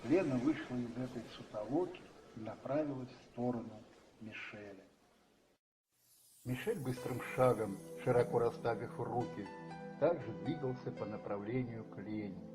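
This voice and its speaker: A man narrates a radio drama in a measured storytelling manner. His voice is mid-pitched and slightly removed from the microphone, with music clearly running behind it. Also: roomy sound, far from the mic, low-quality recording. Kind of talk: scripted radio play narration.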